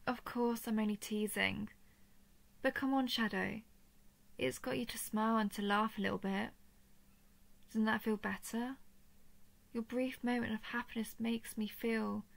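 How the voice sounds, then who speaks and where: A woman playing a royal female dragon in an intimate audio roleplay, teasing and protective, with soft, slightly formal speech and a creaky voice. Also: medium pitch. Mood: playful, teasing. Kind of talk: intimate scripted audio roleplay addressed to listener.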